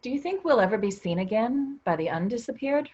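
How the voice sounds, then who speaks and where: A woman acting in a staged play reading as an earnest, puzzled invisible sibling who gently encourages others, with a husky voice and dull lo-fi sound. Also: fairly high pitch, expressive, fairly quick. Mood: pensive.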